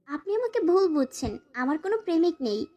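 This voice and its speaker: A woman's voice: very high-pitched, very animated, brisk, a little mellow. A woman voicing a timid, sorrowful young wife who narrates a dramatized romantic story and pleads submissively. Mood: pleading. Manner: formal, submissive address to her husband.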